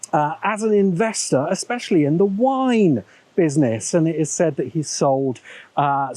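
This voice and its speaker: A man hosting a video essay channel, talking in a measured, musing way with self-correcting asides, recorded on a small camera's built-in mic. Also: on the high side, darkish tone, rough recording. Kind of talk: thoughtful spoken commentary. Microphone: small handheld camera's built-in mic.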